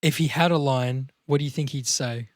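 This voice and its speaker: A man's voice: mid-range voice, normal speed, no room sound, clean studio sound. A man chatting casually as a podcast co-host, informal and bantering with plenty of slang.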